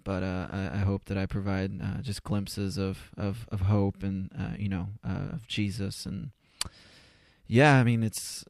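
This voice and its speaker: A man in his twenties answering casually as a podcast guest, halting and self-effacing, often repeating his words. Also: sits low, level tone, creaky, darkish tone, dry recording, studio quality. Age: 20s. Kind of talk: casual, unscripted interview answers. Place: converted garage home studio.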